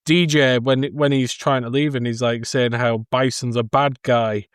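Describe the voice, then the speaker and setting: A man's voice: mid-range voice, steady tone, normal speed, smooth, no echo. Man in his thirties, very dry close sound. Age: 30s. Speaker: podcast co-host. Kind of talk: casual film discussion.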